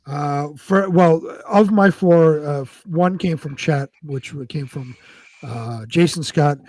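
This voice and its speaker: A man's voice: a little high, slow, a little mellow. A man co-hosting a live show in casual chat. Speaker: co-host.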